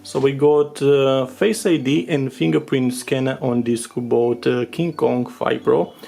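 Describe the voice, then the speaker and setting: A man whose first language is Romanian walks through a product in an informal, unscripted way. His mid-pitched voice has noticeable fry, and he often pauses on fillers. Faint guitar music plays behind him. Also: steady tone, dark tone. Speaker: tech reviewer. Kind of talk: casual unscripted product overview commentary.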